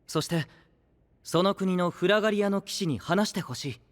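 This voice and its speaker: An actor playing a gentle, idealistic young knight who leads an alliance, speaking softly and earnestly in anime audio drama style. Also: male voice, high-pitched, close to an everyday voice. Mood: gently persuasive. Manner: soft-spoken, earnest, measured.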